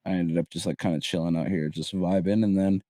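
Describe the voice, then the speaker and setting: A man's voice: sits low, fairly even intonation, fast talker, dark tone, no echo, clean studio sound. A man in his early twenties from Barbados, a guest chatting casually, rambling with restarts and casual swearing. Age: early 20s. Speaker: guest content creator. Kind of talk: casual podcast chat.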